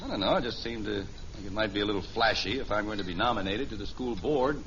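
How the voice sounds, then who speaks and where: A man's voice: lowish voice, animated, quick pace, fairly bright tone. An actor playing a wry, teasing middle-aged father in a 1950s radio family sitcom. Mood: proud, hesitant. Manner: dry, teasing exaggeration. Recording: muffled, noisy old radio recording.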